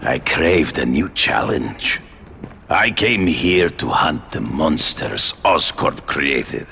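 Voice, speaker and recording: Imperious game villain: cavernous, gravel-throated hunter, slow and deliberate, cold and proud. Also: male voice, extremely low pitch, animated, sharp tone, a little hiss or hum, full character voice. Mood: boastful. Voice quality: gravelly, growling. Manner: formal, deliberate, theatrical. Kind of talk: video game dramatic acting.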